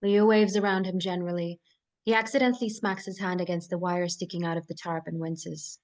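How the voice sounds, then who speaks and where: A woman narrates a screenplay at a table read in a fairly low voice. She reads stage directions and scene headings as scripted action. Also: steady tone, brisk, darkish tone.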